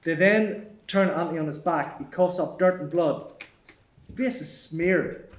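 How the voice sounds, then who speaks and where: A man's voice: a little high, measured pace. A man reading out screenplay stage directions as the narrator at a table read. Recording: echoey room.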